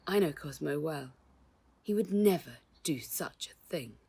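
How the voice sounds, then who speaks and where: A woman reader acts out an elegant storybook lady, gracious and chatty. She speaks with genteel, gushing warmth in a husky, slightly creaky voice. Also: deep voice, animated, unhurried, full character voice. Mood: shocked, concerned.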